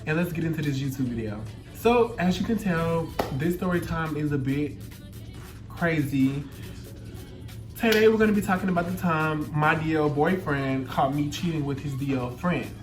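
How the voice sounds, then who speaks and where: A man's voice: on the high side, normal speed. A man in his twenties with a Louisiana Southern accent tells a story in casual, slangy chat, with a feminine, flamboyant delivery and repeated phrases for emphasis. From Louisiana, United States. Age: 20s. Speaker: YouTube storyteller. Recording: roomy echo, music behind the voice.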